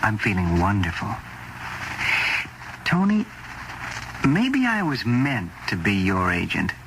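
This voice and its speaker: An earnest, devoted agent and friend in an old radio drama. He takes his time over words, with lively swings of pitch, on a noisy vintage recording. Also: full character voice. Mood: fervent. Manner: earnest, deliberate, emphatic. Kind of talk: radio suspense drama acting.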